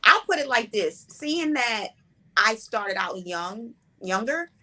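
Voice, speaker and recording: A woman in her forties, a playwright and director, answering interview questions in a relaxed, reflective, conversational way. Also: on the high side, slow pace. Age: 40s.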